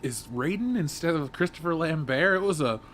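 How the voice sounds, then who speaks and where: A man's voice: a little high, expressive, brisk, fairly bright tone, a little hiss or hum. A man speaking casually as a panelist in an informal discussion. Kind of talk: casual chat. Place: convention panel room.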